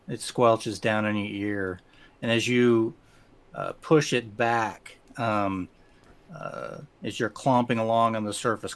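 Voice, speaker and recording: A man improvising narration as game master of a tabletop game, halting and often rephrasing mid-sentence. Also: fairly low pitch.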